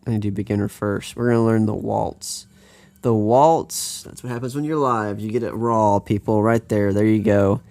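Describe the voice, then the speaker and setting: Upbeat American guitar teacher, lowish voice. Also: male voice, fairly even intonation, normal speed, vocal fry, a little mellow. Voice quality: upbeat, chatty, rambling with restarts. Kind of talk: live online guitar lesson.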